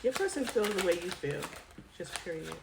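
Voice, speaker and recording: Woman doing a chatty tarot reading, lowish voice getting tired from a cold, echoey room, cards shuffling and clicking. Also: sing-song, brisk, creaky, very noisy. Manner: chatty, addresses viewer directly with frequent "right?". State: coming down with a cold, voice tiring. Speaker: tarot reader. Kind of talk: casual tarot reading to camera.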